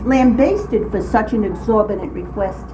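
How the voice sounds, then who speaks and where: An older woman reads her own humorous personal story aloud in an expressive, dramatic way, with emphatic phrasing. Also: medium pitch, normal speed. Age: older, grandmother. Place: indoors, writing group meeting room. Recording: very noisy small-group room recording. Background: clear background noise and room ambience.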